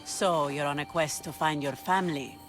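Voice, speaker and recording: A woman's voice: sits low, moderate pace, music in the background, close to an everyday voice. Actress as Xenia, commanding pirate queen. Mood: wary, amused. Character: Xenia, commanding, shrewd female pirate leader. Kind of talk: dramatic video game cutscene acting.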